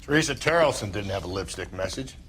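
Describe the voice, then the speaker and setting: An actor playing a cynical, crude middle-aged American homicide detective in a 1940s crime game, blunt and sardonic. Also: male voice, fairly low pitch, animated, quick pace, fairly bright tone, a little hiss or hum. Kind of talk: video game crime drama acting.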